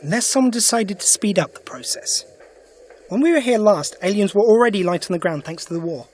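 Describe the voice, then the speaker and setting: An actor playing a sharp, principled alien adventurer in an audio drama, brisk and inquisitive. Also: male voice, sits high, lively intonation, slight background noise. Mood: thoughtful, brisk. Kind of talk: full-cast audio drama acting.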